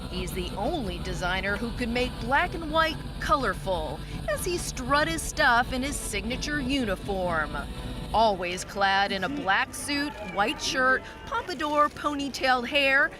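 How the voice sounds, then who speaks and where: A woman with a fairly high voice narrates a news feature. Music plays clearly beneath her scripted voice-over. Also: lively intonation, unhurried. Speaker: news reporter.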